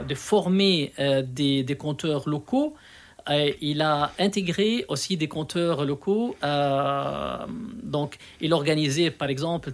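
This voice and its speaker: Higher-voiced Lebanese man telling his experiences. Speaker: storyteller, interview guest. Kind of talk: conversational storytelling in an interview.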